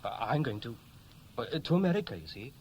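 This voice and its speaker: A man's voice: medium pitch, big pitch swings, a little fast, a little mellow, muffled recording. An actor playing a restless, evasive young Welshman in a 1960s radio play, speaking in a hesitant, colloquial way. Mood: awkwardly boastful. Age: young adult. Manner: hesitant, colloquial, self-correcting. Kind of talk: 1960s radio play acting, naturalistic dialogue. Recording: noisy vintage 1960s radio recording.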